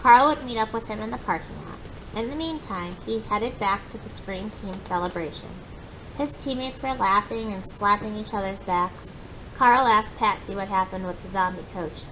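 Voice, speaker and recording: A woman with a mid-pitched voice reads a children's book aloud. Her delivery is expressive and animated. The recording has clearly audible noise and a low rumble behind her. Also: normal speed. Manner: expressive, acts out dialogue. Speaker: read-aloud storyteller.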